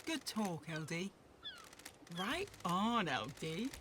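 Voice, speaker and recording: A woman's voice: fairly low pitch, big pitch swings, slow pace, noisy background. A woman voicing a sassy, casual female video game character in short, punchy catchphrases. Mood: familiar, casual. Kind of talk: short stylized game voice barks. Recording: loud music over the voice. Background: loud game music.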